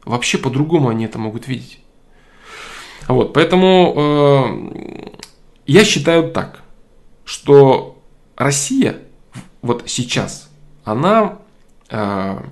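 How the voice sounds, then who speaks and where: A man speaks in a middle-pitched voice, pressing his views in a long monologue. He says his key phrases twice for weight and breaks up his sentences with frequent hesitant fillers. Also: sing-song, slow pace, long pauses. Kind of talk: opinionated political monologue.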